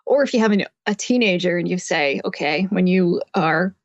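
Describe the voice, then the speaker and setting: A woman's voice: lowish voice, expressive, normal speed, no room sound, dull audio. A woman guest expert giving conversational interview advice, explaining things through everyday examples. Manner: explanatory, uses everyday examples and imagined quotes. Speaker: guest expert, psychotherapist and author.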